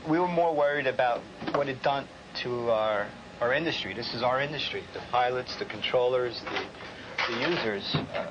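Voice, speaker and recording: A man gives interview answers as an air traffic controller. His voice sits at a middle pitch, with faint noise on the recording. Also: normal speed, muffled recording.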